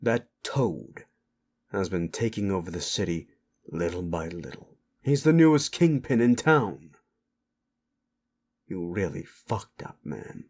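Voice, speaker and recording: A man plays a weary, stern keeper of an underworld club in an audio drama. The voice sits low and moves slowly. He scolds with measured authority. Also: expressive, a little mellow, full character voice. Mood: exasperated scolding. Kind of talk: dramatic audio roleplay acting.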